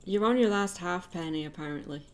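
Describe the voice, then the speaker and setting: A woman's voice: lowish voice, fairly quick. A woman chatting casually and playfully while livestreaming her artwork. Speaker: digital artist livestreaming.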